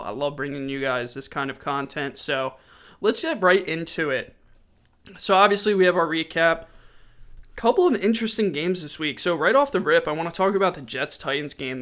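A man's voice: fairly high pitch, fairly bright tone. A man with a stuffy, sore-throated voice hosting a casual sports podcast, running through game stats conversationally. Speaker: podcast host.

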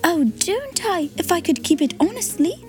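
A woman reading a storybook aloud, voicing a kind, cheerful poor little girl in a gentle, raised voice. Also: very high-pitched, big pitch swings, moderate pace, faint music behind, voice pushed up. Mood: eager.

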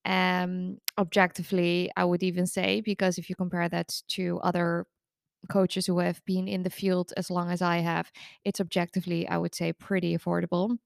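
A woman's voice: fairly low pitch, little pitch movement, moderate pace, creaky, no echo, clean studio sound. A woman in her thirties hosting her own podcast as a recovery coach, talking in a candid, chatty way while congested from the flu. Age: 30s. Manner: candid, chatty and self-deprecating. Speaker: podcast host and eating disorder recovery coach. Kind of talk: casual solo podcast monologue.

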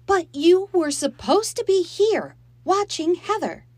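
A woman's voice: big pitch swings, unhurried, darkish tone, no echo. A woman voicing an upset mother whose voice quavers as she scolds and pleads, in a storybook reading. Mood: scolding. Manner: high, strained, quavering. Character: upset mother, worried, tearful, strained.

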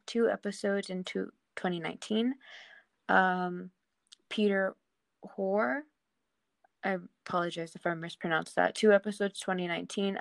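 A woman hosts a casual podcast segment. Her voice sits at an average pitch, and the sound is dry with no room on it. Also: creaky, no room sound. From of Mexican background. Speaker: podcast host.

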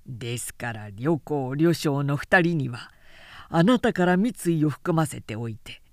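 A woman performs a cautious old-world military counsellor in an audiobook tale. He speaks low, grave and slow, with formal deliberation. The sound is dry and close. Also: lively intonation, no echo. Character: cautious, grave male military adviser counselling his lord.

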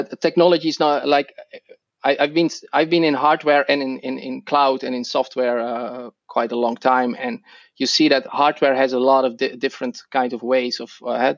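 A middle-aged man with a Dutch accent, a podcast guest who speaks English as a second language and talks haltingly with many restarts and fillers. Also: mid-range voice, vocal fry, no room sound, highs cut off. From Netherlands. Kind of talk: podcast interview.

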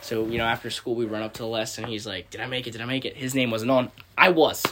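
A man's voice: mid-range voice, fairly even intonation, fast, fairly bright tone, a little hiss or hum. A young American man chatting casually with friends and telling stories in a slangy, joking way. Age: young adult. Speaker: podcast co-host. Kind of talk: casual chat and anecdotes with friends.

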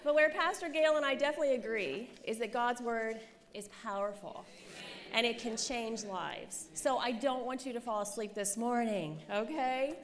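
A woman's voice: on the high side, normal speed. A woman in her forties from the United States, giving a chatty, self-deprecating conference sermon to a live audience, often checking in with "right?" and "okay?". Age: 40s. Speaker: conference speaker, pastor's wife. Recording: reverberant hall, faint room noise.

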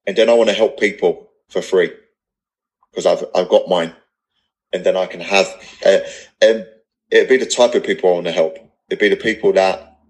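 Male podcast guest, 30s, low voice, everyday non-posh English accent, earnest and blunt, loose conversational delivery. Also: poor audio. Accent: English, not posh. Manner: earnest, rambling, blunt with swearing. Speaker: podcast guest, personal trainer business owner. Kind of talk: casual podcast interview, candid talk.

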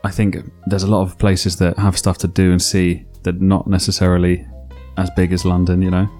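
A man's voice: sits low, monotone, normal speed, a little mellow, faint music behind. A young man co-hosting a podcast in casual chat, teasing his friend in a wry way and laughing easily. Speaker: podcast co-host.

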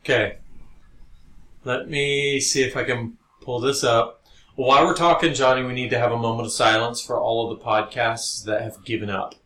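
A man's voice: medium pitch, fairly even intonation, moderate pace, pushed and bright. A man co-hosting a podcast in casual conversation. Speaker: podcast co-host. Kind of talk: casual chat. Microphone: podcast recording with headphones. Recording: roomy echo, noticeable background noise.

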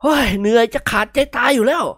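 A reader voicing a gruff, pompous old nobleman who barks and scolds in an audiobook. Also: high voice, expressive, moderate pace, no echo, clean studio sound, pitched above the performer's own voice. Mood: exasperated. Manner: barking, blustery scolding. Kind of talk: audiobook character voice.

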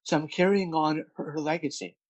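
A man's voice: a little high, fairly even intonation, fairly quick, no echo, rough recording. A man who stutters, with repeated words and many fillers, speaking candidly as a guest about his work as a speech coach. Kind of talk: candid interview conversation.

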